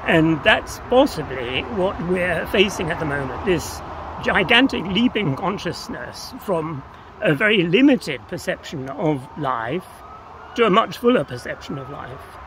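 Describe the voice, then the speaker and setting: A man's voice: sits high, sing-song, measured pace. An older man being interviewed outdoors, explaining ideas in a reflective, tentative, philosophical way. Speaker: interview guest. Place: outdoors on open grassland by a path. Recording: outdoor recording with clear ambient noise.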